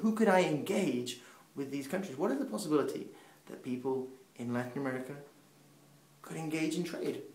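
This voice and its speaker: Earnest Peru-raised preacher, Spanish native, echoey room. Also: male voice. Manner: earnest, builds emphasis then drops low. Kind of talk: sermon-style teaching.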